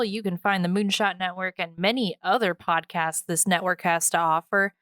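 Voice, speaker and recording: A woman's voice: mid-range voice, fairly bright tone, no echo, clean studio sound. A woman co-hosting a podcast, giving casual outro plugs in an informal, jokey way. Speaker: podcast co-host.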